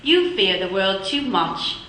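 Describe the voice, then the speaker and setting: A woman's voice: medium pitch, big pitch swings, slow pace, fairly bright tone. A woman playing a sorrowful former sweetheart. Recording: echoey, faint hiss.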